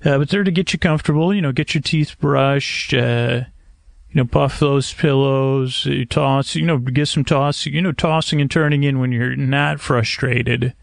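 A man hosting a sleep podcast in a halting, digressive, meandering monologue, often interrupting himself and trailing off, with a gravelly creak to his voice. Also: mid-range voice, fairly even intonation, dry recording. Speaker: podcast host. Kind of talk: rambling, meandering bedtime monologue to help listeners sleep.

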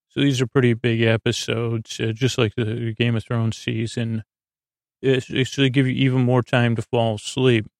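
A man hosting a bedtime podcast in a rambling monologue, halting and stammering, often repeating himself and trailing off mid-word. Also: mid-range voice, dry recording, studio quality. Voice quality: creaky, gravelly. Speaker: podcast host.

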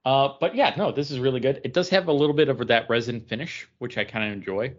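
Mid-pitched man chatting, slightly muffled. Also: fast. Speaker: podcast co-host. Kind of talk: casual chat.

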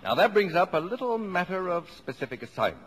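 A man's voice: high-pitched, sing-song, quick pace, breathy edge, heavily acted. An American actor in a mid-century radio drama, playing a jovial, middle-aged, blustery father with an exclamatory, mock-formal way of talking. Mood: brisk, mock-formal. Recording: noisy old radio broadcast recording.

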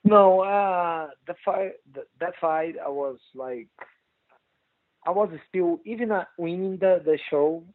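A Brazilian man with a Portuguese accent, a guest speaking halting non-native English with many restarts and "you know". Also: sits high, no room sound, narrow, tinny audio. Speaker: guest fighter. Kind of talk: casual interview chat.

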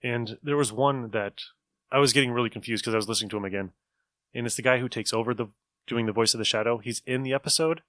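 Guy podcaster just chatting, bright crisp voice, close and dry, acts out what he retells. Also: mid-range voice, fast, dry recording. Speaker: podcast co-host. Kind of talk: casual chat about old-time radio.

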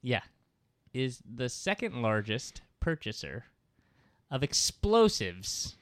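A man's voice: mid-range voice, big pitch swings, slow pace, no room sound. Sarcastic man cursing through podcast banter. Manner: rambling, profane, sarcastic. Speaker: podcast co-host. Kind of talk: casual comedy banter.

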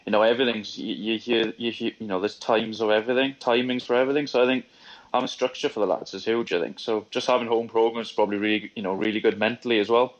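A man, talking as a guest in a halting, repetitive way while he thinks. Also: on the low side, fairly even intonation. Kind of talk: casual remote chat. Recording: lo-fi call audio.

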